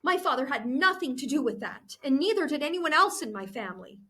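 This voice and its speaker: Woman playing an earnest, high-voiced defensive young girl. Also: very animated, fairly quick, bright, forceful tone, a little hiss or hum, full character voice. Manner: pleading, defensive. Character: earnest, defensive twelve-year-old girl, loyal friend. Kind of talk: acted dialogue in a book read-aloud.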